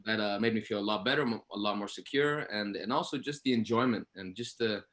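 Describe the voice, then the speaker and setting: A man's voice: on the low side, fairly quick, a bit bright. A man speaking earnestly and emphatically as an actor in a post-show Q&A, often repeating phrases as he reflects. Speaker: actor, interview guest.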